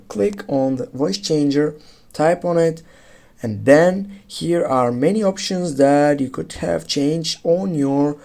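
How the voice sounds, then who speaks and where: A tutorial host giving step-by-step how-to narration in halting, non-native phrasing. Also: medium pitch, dark tone.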